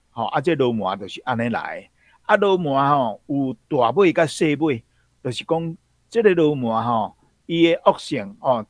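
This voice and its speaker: A man's voice: medium pitch, no room sound. Man explaining a word's origin, dry sound. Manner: explanatory, frequent fillers. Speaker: guest commentator.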